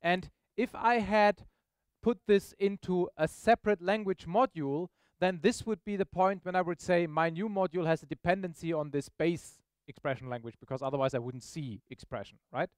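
A man's voice: sits high, normal speed, hardly any pauses, clean tone, no room sound. A man giving an informal, explanatory live technical demo to an audience, often checking in with questions. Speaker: software presenter. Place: meetup presentation room, on stage.

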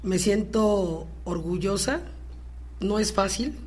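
A woman's voice: mid-range voice, slow pace, darkish tone. A woman with a Mexican accent speaking as an actress guest in a conversational interview.

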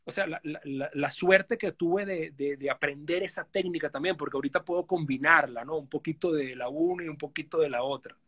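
Venezuelan man, rambling, slightly high. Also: a little fast, few pauses, fairly bright tone, husky. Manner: rambling, many fillers and restarts. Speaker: guest. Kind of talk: casual live-stream interview. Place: living room indoors. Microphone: video call.

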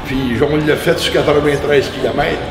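A man's voice: a little high, moderate pace. A man with a Quebec French accent casually sharing his personal recollections of a road trip. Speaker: trip participant. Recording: echoey, distant mic, music behind.

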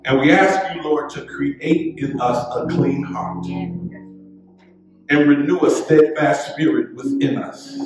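A middle-aged American man preaching as a pastor in a measured, fervent, declamatory way. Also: fairly high pitch, far from the mic, over music. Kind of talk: sermon, scripture reading and prayer. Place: church sanctuary at the pulpit. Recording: echoey church hall, off mic.

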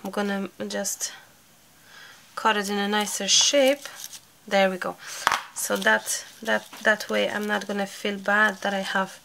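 A woman, slightly hoarse, chatting casually while she works through a crafting tutorial. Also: medium pitch, fairly even intonation, unhurried, bright, forceful tone, slight background noise. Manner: chatty, thinking aloud, light laughs. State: slightly hoarse from a sore throat. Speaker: craft channel host.

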